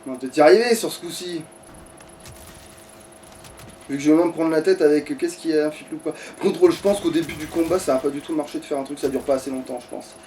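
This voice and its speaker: Man, slightly high voice, casual gaming commentary, foul-mouthed, grumbling, distant mic, background noise. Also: fast, dark tone. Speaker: gaming streamer. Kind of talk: casual let's play commentary.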